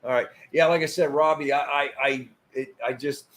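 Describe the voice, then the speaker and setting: A man in his fifties hosts a laid-back live show with his wife. His voice sits a little high, and he talks in an easygoing way, tripping over his words and laughing often. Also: steady tone, moderate pace, a bit bright. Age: 50s. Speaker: host. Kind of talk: casual livestream chat.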